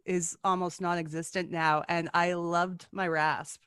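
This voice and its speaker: A young woman in her twenties hosting a casual podcast chat, making slow, unhurried remarks. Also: lowish voice, level tone, fairly bright tone, clear, resonant tone, no echo, clean studio sound. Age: 20s. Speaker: podcast host.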